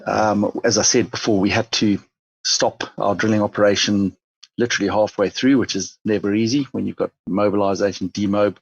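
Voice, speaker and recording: A man with a Zimbabwean accent talks through a business interview in a low, husky voice. He sounds measured and pauses often on fillers. The recording is dull and dry. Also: steady tone, no echo. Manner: measured, many fillers and restarts. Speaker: mining company chief executive.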